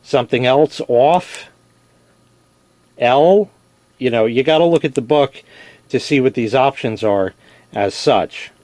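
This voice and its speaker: A man talks through a hands-on product review in a casual, plain-spoken way. His voice sits at an ordinary male pitch. He explains step by step and readily corrects himself, recorded dry with very little room sound. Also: takes it slowly, long pauses, darkish tone, no room sound. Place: indoors in a basement.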